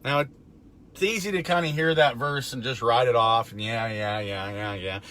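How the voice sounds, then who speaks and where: A middle-aged man with a raspy, gravelly voice, hoarse from being under the weather, talks casually about faith and recovery from his parked car, with fillers and soft chuckles. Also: mid-range voice, moderate pace. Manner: relaxed, rambling with fillers and chuckles. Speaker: recovery vlogger. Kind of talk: casual faith talk to camera.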